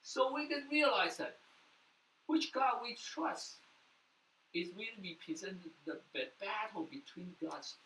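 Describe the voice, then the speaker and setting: A man's voice: high-pitched, lively intonation, measured pace. Man preaching in an echoey room. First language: Mandarin. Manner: earnest preaching, sudden loud bursts then soft drops. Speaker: pastor. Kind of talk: sermon. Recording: echoey room, mic at a distance, faint noise.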